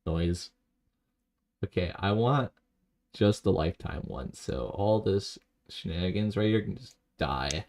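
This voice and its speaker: A man with a deep, gravelly voice streams a game, drifting through low-key, rambling self-talk. He speaks slowly and reads viewers' messages aloud between moves. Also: animated, darkish tone. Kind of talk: casual live-stream commentary while playing.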